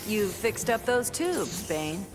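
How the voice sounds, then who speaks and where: A woman's voice: medium pitch, sing-song, takes it slowly. A woman voicing a confident, tough superheroine in a video game, cool and challenging. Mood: cool, teasing. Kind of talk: video game hero acting. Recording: loud music behind.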